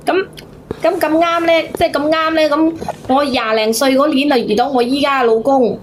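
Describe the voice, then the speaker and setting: A woman with a Cantonese accent telling her story to a host as a caller, in a halting, repetitive, plaintive way. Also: sits high, fairly even intonation, a little hiss or hum. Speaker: phone-in caller. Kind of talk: casual personal storytelling to a host.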